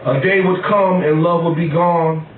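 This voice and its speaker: Male poet, live reading, deliberate phrasing, slightly high voice, recorded far off in an echoey room. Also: steady tone, narrow, tinny audio. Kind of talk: live spoken-word poetry reading. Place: small cafe poetry night, indoors.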